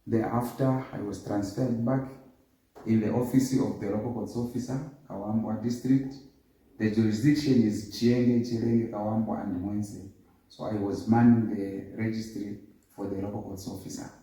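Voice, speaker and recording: A man in his fifties answers interview questions in a measured, formal way, with a lowish, very dark and mellow voice. He is well away from the microphone, so the voice echoes around a hard room. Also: fairly even intonation. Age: 50s. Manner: measured, formal, lists dates and places. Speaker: interview guest. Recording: echoey, distant room sound.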